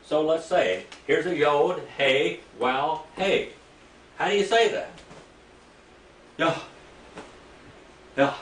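Older guy preaching bible class, kinda high voice, asks the room questions, echoey and far from the mic. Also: a bit bright, slight background noise. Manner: folksy, asks the class questions, reads scripture aloud. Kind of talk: Bible teaching lecture. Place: small church room, indoors. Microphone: room mic from a distance. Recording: echoey room, heard from a distance.

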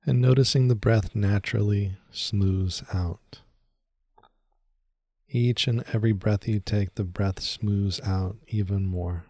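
A man leading a guided meditation in a soothing, unhurried way, speaking in short phrases with long pauses. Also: lowish voice, fairly even intonation, darkish tone, dry recording, studio quality.

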